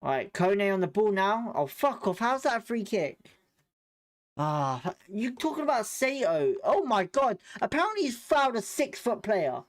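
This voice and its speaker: A man's voice: high voice, sing-song, moderate pace. A man with a British accent giving informal live football commentary as a fan host, blunt, slangy and sweary in his reactions.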